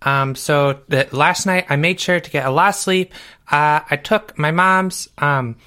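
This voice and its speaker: An excitable, naive schoolboy, put on by a man, chats eagerly as a comic podcast guest. He rambles and overshares in a bright, fairly high voice. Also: a little slow, clear, resonant tone. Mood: eager, rambling.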